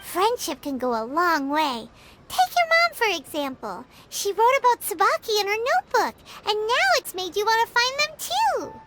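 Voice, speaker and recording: A woman voicing a tiny, excitable, food-loving fairy companion in a video game, with a squeaky childlike voice and a bouncy, blunt way of talking. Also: moderate pace. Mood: reassuring. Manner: bouncy, blunt, talks about herself by name. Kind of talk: video game cutscene dialogue. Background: game music.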